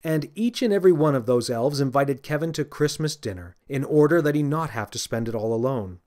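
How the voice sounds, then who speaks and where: A man reading his own children's story as scripted audiobook narration, with an expressive storytelling manner. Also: mid-range voice, brisk.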